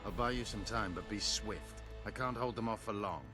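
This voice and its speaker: An actor playing a hot-headed, commanding rebel warrior in a video game, giving urgent, forceful orders. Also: male voice, lowish voice, normal speed, music in the background, close to an everyday voice. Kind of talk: video game dialogue acting.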